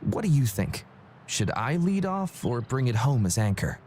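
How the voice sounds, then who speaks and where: A man's voice: mid-range voice, sing-song, normal speed, a little mellow, soft background music, lightly acted. An actor playing a confident young male relay runner in a video game, casual and friendly. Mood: upbeat, friendly.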